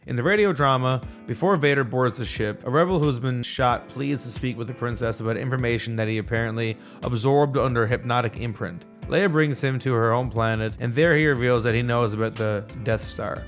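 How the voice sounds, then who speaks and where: A man's voice: mid-range voice, fairly quick, hardly any pauses. A man narrating a scripted video essay. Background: background music.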